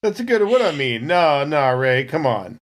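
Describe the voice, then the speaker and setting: Man playing a boozy, skeptical Marine, higher voice. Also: sing-song, normal speed. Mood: incredulous. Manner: slangy, incredulous, teasing. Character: laid-back, skeptical, boozy male space Marine.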